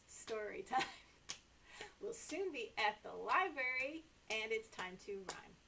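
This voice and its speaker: A woman's voice: high voice, background noise. A middle-aged woman hosting story time for small children, reading aloud and chatting in an exaggerated sing-song way. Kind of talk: read-aloud story time for toddlers, talking to young children. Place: small indoor room with sofa and toys.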